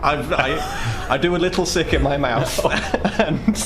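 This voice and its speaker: A man's voice: a little high, lively intonation, slow. A man playing a tabletop game, describing his actions with nervous laughter. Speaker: player. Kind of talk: in-character tabletop play. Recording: noisy home recording with ambience.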